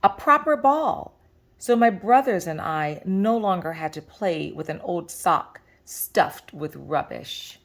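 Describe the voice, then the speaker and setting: A woman reading a picture book aloud to children as a storyteller, with deliberate, expressive phrasing. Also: lowish voice, vocal fry.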